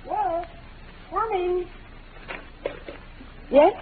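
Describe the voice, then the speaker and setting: A woman's voice: extremely high pitch, very slow, a little hiss or hum, exaggerated voice. Genteel young woman, tinny old radio. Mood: polite. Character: poised, defensive young woman shielding her guilty father. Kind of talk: 1940s radio melodrama acting.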